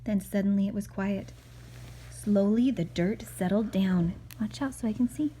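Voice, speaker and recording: A woman's voice: mid-range voice, normal speed, dark tone, breathy edge, poor audio. A woman reading a picture book aloud to a child as a mother, expressively, with chatty asides and questions.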